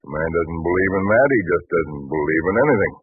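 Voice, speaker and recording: An actor playing a brash, confident seafaring trader in an old biblical radio drama, talking bluntly and insistently. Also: male voice, sits low, expressive, brisk, husky, no echo, soft background music. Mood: challenging. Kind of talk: 1940s radio drama acting. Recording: muffled, thin 1940s radio recording.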